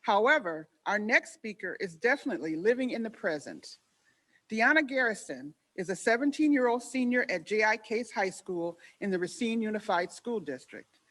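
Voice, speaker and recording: A woman's voice: mid-range voice, lively intonation, a bit bright. A woman emceeing a commemorative event, reading formal speaker introductions. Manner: formal, measured reading from a script.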